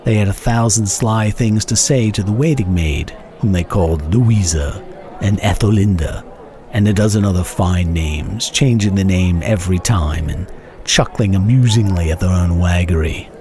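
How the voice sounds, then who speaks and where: Mellow, low-voiced man reading a story. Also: moderate pace, faint music behind. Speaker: narrator, reader. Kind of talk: dramatic reading of a classic short story.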